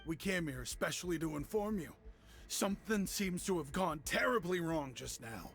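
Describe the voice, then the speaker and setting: An actor playing an excitable, bickering young townsperson in an animated fantasy video game. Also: mid-range voice, normal speed, close to an everyday voice. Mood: urgent.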